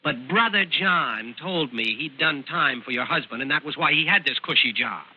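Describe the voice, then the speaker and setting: A smug small-time blackmailer in a vintage radio thriller. His husky voice swings up and down, mock-polite and needling, with casual hesitations. The old recording is slightly dull. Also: fairly high pitch, animated, normal speed. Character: sly smug blackmailing brother, narrating his own scheme.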